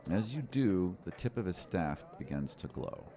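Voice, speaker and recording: Game master, male: lively, varied intonation, slightly low voice, casual improvised scene-setting into a close studio mic. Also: moderate pace, slight background noise. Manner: casual, descriptive, gesturing. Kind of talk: improvised tabletop game narration. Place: small indoor studio room. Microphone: close podcast microphone.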